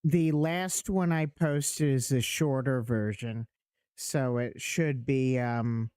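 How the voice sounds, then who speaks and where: A man's voice: mid-range voice, a little mellow, smooth, no room sound. A man chatting on a livestream, dry and sardonic in tone. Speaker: guest on the stream. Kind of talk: casual chat.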